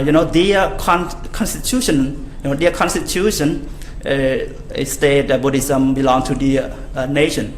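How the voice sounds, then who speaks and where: A man from Cambodia with a Cambodian accent, whose first language is Khmer, giving a conference talk in an informal, joking way with many fillers and restarts. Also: a little high. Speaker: conference speaker. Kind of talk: conference talk to a live audience. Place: large conference hall. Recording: echoey hall, faint background noise.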